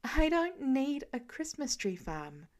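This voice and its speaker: A woman voicing a calm, patient grown woman in a fan audiobook reading, speaking evenly with a dry edge. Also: sits high, takes it slowly, full character voice.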